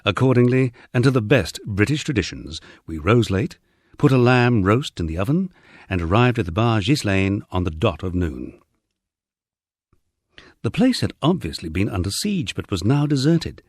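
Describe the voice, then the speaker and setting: A man's voice: lowish voice, very animated, moderate pace, no room sound, studio quality. A man reading a humorous first-person memoir aloud as scripted audiobook narration. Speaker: audiobook reader.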